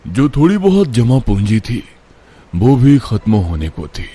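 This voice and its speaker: A man's voice: mid-range voice, big pitch swings, measured pace, noisy background. A man narrating a scripted horror story in a dramatic, suspenseful way.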